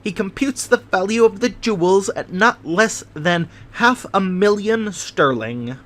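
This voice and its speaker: A man voicing an excitable, fragile hypochondriac gentleman who chatters eagerly in a high voice, in a storybook reading. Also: measured pace. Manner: high voice rising above the noise, eager chatter.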